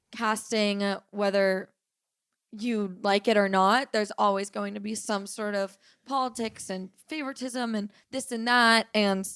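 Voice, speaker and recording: A young woman co-hosting a podcast, raspy today, talking in a casual, animated way as she tells stories. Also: medium pitch, no echo, studio quality. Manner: animated storytelling, quick and emphatic. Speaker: podcast co-host. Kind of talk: casual chat.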